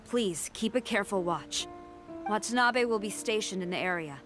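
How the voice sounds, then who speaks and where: An actress playing a stern, dutiful female military commander in a video game, formal, measured and authoritative. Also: mid-range voice, lively intonation, over music, lightly acted. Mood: formal, resolute. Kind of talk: English video game voice acting.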